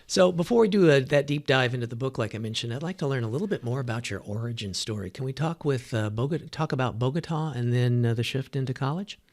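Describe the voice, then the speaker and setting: A man's voice: medium pitch, quick pace, few pauses. A man hosting an interview podcast, reading prepared introductions and putting questions to his guest.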